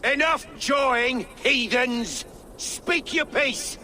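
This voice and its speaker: A proud, embattled medieval king, declaiming imperiously in a video game scene. His voice is very high and hard-edged, full of defiance. Faint noise sits behind him. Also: expressive, slow, sharp tone, heavily acted. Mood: scornful. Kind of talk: theatrical video game acting.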